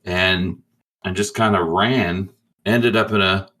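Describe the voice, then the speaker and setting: A man roleplaying in a tabletop game, telling his story in a halting, laid-back, profane way. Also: sits low, fairly even intonation, bright, forceful tone. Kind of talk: casual in-character storytelling.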